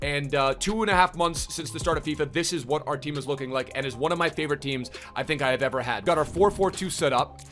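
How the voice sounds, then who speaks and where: A young man giving chatty, upbeat commentary on a video game card, his voice a little rough from streaming late, with plenty of fillers, in a small room at a desk mic. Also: on the high side, fast, hardly any pauses, a bit bright, faint music behind. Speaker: gaming YouTuber. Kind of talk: casual game review commentary. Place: small indoor room, gaming setup.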